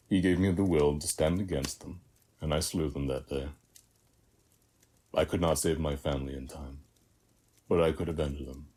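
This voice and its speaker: A man playing a weary, battle-hardened warrior of Ares in a scripted audio roleplay, speaking in measured, reflective short phrases. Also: darkish tone, heavily acted. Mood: grieving, bitter. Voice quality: very deep, husky, breathy.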